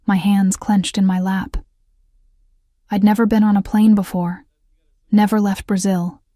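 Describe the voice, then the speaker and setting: A woman narrating a first-person romance story in a scripted storytelling style, with an even, subdued delivery. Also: mid-range voice, dry recording, clean studio sound.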